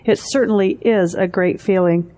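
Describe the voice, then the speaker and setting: A woman playing a seasoned school principal in an audio drama, formal, measured and authoritative. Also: mid-range voice, fairly even intonation, mellow, close to an everyday voice. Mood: gracious, nostalgic.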